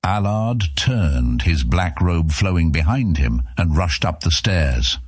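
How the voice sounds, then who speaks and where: A man narrating an epic fantasy audiobook in a dramatic, measured, suspenseful storytelling style. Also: low-pitched, very animated, breathy edge, dry recording.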